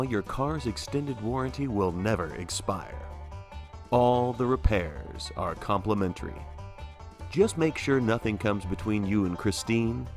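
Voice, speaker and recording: Man doing a slow, sinister car salesman pitch. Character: sly, sinister used car salesman in radio ad. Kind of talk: mock radio commercial.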